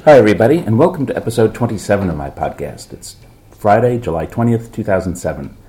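A deep, mellow-toned man hosting a podcast, his voice roughened and gravelly from a cold. He chats easily with his co-host, laughs often and slips in small self-mocking jokes. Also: lively intonation, fast talker, slight background noise. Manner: chatty, laughs often, light self-mockery. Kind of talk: casual chat.

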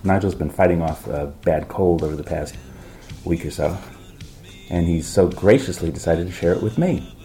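A deep, mellow-toned man hosting a podcast, his voice roughened and gravelly from a cold. He chats easily with his co-host, laughs often and slips in small self-mocking jokes. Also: moderate pace, soft background music. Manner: chatty, laughs often, light self-mockery. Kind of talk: casual chat.

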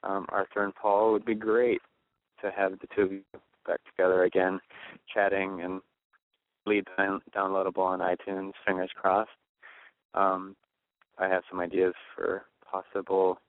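A man's voice: low voice, vocal fry, no room sound. Tinny phone voicemail from a man. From Hawaii. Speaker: listener leaving a voicemail. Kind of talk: casual voicemail message. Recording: tinny, dry phone line.

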